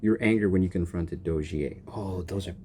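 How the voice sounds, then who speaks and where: A man giving casual, informal reaction commentary as he streams a game, very expressive and reactive. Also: low-pitched, moderate pace, a little hiss or hum. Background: faint game music.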